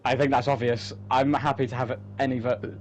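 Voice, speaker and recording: A man's voice: medium pitch, flat delivery, brisk. A man chatting casually and unscripted, rambling enthusiastically with some swearing. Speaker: co-host. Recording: clearly noisy background, home-made recording.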